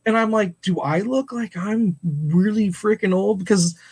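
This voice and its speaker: A man's voice: sits high, moderate pace, vocal fry, a little mellow, breathy edge. A man in his forties from St. Louis, co-hosting a podcast, telling rambling stories with plenty of laughter. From St. Louis, USA. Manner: rambling storyteller, laughs often, acts out quoted talk. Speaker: podcast co-host. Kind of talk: casual chat.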